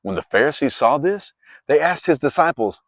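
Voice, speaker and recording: A man narrating scripture as a dramatized story, with expressive, clearly phrased delivery. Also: on the high side, normal speed, dry recording, studio quality. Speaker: Bible narrator.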